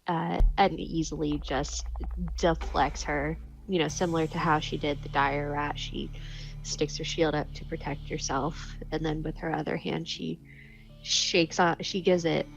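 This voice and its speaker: Female tabletop player, lowish gravelly voice, informally narrating her character's moves with little melodic swing. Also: little pitch movement, unhurried, music in the background, poor audio.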